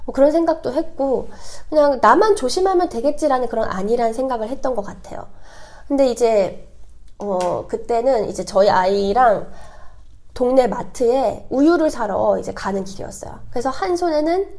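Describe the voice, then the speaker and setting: A woman from South Korea chatting casually to viewers as a vlogger, her voice hoarse and congested from a cold, explaining things in a talkative way. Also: a little high, lively intonation, normal speed, darkish tone. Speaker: vlogger hosting own channel.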